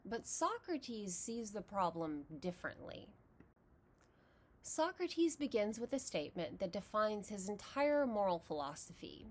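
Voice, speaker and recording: A woman with a Texas accent, narrating a scripted philosophy lesson in a measured, explanatory way. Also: mid-range voice, sing-song. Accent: Texan. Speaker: philosophy lecturer.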